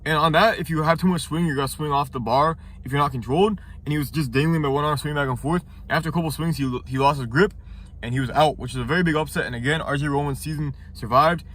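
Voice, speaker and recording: A young man talks quickly and casually, his voice nasal and stuffed up from congestion. He rambles through his points with restarts and fillers, pitched a little high. A faint background noise sits under the recording. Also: a bit bright. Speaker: ninja competitor reviewing episodes. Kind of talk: casual commentary review.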